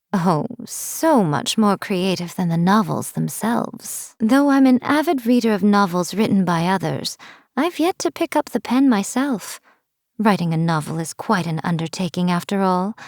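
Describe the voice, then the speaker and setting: A woman's voice: medium pitch, darkish tone, no room sound, clean studio sound, natural voice. A woman voicing a haughty, playful fox-spirit shrine maiden in a video game, with a teasing, self-assured, theatrical delivery. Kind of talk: scripted video game character voice lines.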